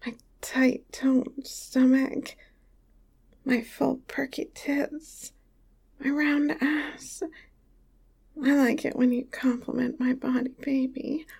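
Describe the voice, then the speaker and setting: A tall, teasing young lover, played by a woman murmurs in a soft, breathy whisper. Her voice sits high, and she takes her time over every word. It is close and personal, spoken straight to the listener. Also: slow pace. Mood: whispery tender. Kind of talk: intimate audio roleplay to the listener.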